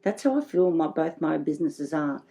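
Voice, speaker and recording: A woman's voice: sits low, expressive, normal speed, vocal fry, mellow. A middle-aged woman, chatting enthusiastically as a guest in a casual interview. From Greece, living in Australia. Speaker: interview guest, boutique shop owner.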